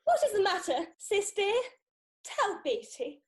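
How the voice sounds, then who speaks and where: A woman with an English accent playing a prim, doting young music teacher in a theatrical farce, proper and expressive. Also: very high voice, unhurried, full character voice. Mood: doting concern.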